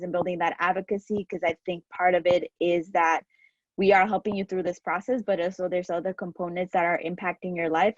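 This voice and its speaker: A woman's voice: lowish voice, dry recording, lo-fi sound. A woman in her thirties, a New Yorker, speaking earnestly as an alumni panelist with many fillers. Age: 30s. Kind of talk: casual panel talk.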